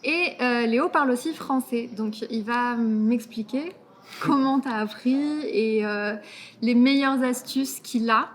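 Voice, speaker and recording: A woman's voice: on the high side, slow pace. A woman hosting a casual interview in French, encouraging her guest and supplying words he is looking for. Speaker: host of a french learning channel. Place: outdoors in a city.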